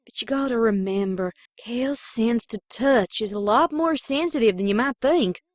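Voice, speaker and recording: A woman's voice: on the high side, expressive, moderate pace, no room sound, clean studio sound, natural voice. A woman with a Southern US accent voicing a plainspoken, protective young farm mare in an audio drama. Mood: calm explaining. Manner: folksy, plainspoken, soothing. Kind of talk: scripted audio drama character acting.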